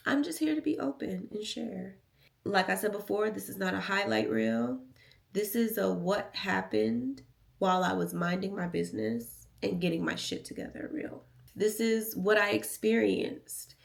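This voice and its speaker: An American woman chatting casually and candidly to her vlog audience, playful and emphatic, with a slightly raspy, nasal voice. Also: medium pitch. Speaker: vlogger. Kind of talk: casual confessional vlog chat.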